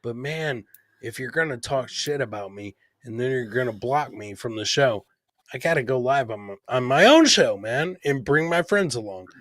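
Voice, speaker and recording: A man's voice: mid-range voice, animated, normal speed, a bit bright, no echo, studio quality. A man hosting a casual podcast, talking bluntly and swearing freely like he is among buddies. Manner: blunt, profane, buddy-like talk. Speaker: podcast host.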